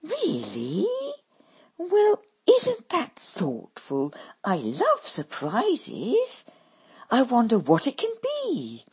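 A woman voicing a young woman who turns from indignant to affectedly posh, husky-voiced. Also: huge pitch swings, measured pace, a little mellow, full character voice. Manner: shrill, then put-on genteel tones.

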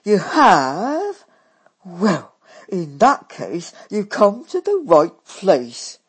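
Woman playing a blunt young woman who talks loud and slow, storybook figure. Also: mid-range voice, wildly swooping pitch, breathy edge, exaggerated voice. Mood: brash.

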